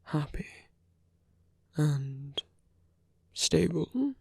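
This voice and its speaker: A man playing a soft-hearted villain wooing his heroic enemy in an intimate ASMR roleplay, speaking slowly and deliberately in a breathy hush that often slips into whispers. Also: a little high, big pitch swings, dry recording, clean studio sound, exaggerated voice. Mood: caring. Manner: slow, deliberate, hushed, often slipping into whispers. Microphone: close, dry microphone.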